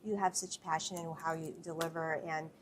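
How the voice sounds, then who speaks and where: Effusive lower-voiced woman host. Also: steady tone, a little fast, a little hiss or hum. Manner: effusive, enthusiastic. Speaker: show host. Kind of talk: casual interview.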